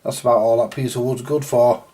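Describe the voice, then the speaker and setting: A man's voice: mid-range voice, fairly even intonation, brisk, mellow. A British man giving casual, colloquial hands-on repair commentary, thinking aloud and muttering to himself with a mild grumble. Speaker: vintage radio repairer presenting a video.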